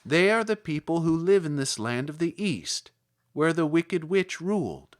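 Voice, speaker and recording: A man voicing a kindly tiny old woman who speaks calmly and sweetly, as an audiobook character. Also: a little high, a little slow. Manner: calm, sweet and gently matter-of-fact.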